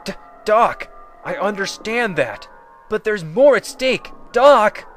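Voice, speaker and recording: A man's voice: sits high, lively intonation, slow, faint music behind. A man voicing an anxious, protective young hedgehog explorer in a scripted comic dub. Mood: alarmed. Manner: stammering when worried.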